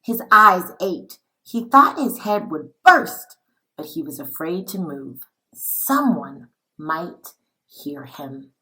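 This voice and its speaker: A woman reading a children's picture book aloud like a teacher, in a dramatic, deliberate, expressive way. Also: lowish voice, poor audio. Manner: dramatic, deliberate, with acted-out dialogue.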